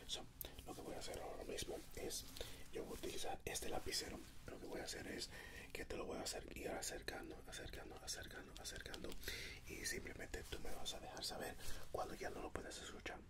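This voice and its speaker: A man in his thirties whispers softly and breathily in an ASMR medical exam roleplay, gently giving reassuring, step-by-step instructions as a doctor. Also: brisk. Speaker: ASMR performer playing a doctor. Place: indoors, dark backdrop. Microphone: close microphone.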